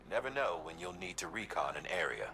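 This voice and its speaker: Helpful male game advisor, low animated voice, instructive radio briefing with clear noise behind. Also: quick pace. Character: helpful male advisor giving equipment tips.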